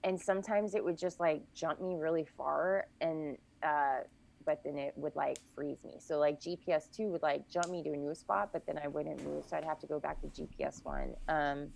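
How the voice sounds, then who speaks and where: A woman's voice: low voice, lively intonation, normal speed, vocal fry, darkish tone. A woman guest on a podcast, casually chatting and recounting events in long, detailed runs with frequent self-corrections.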